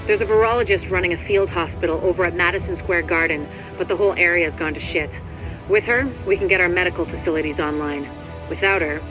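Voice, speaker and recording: A man's voice: sits high, natural voice, low-quality recording. A man playing a blunt, plain-spoken agent rallying a base in a video game cutscene. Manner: plain-spoken, matter-of-fact, profane.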